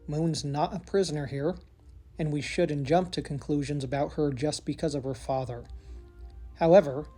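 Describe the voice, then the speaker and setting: A man playing an earnest young dragon strategist in an audio drama, reasoning things out in measured phrases. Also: fairly high pitch, steady tone, warm tone, soft background music, close to an everyday voice. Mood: reasoning, firm.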